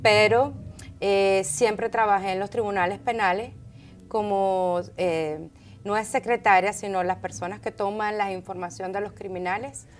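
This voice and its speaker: A middle-aged woman from Caracas with a Venezuelan Spanish accent, telling her story in conversational interview answers with halting, thoughtful pauses. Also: mid-range voice, steady tone, faint music behind. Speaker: interview guest, entrepreneur. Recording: clearly noisy background ambience.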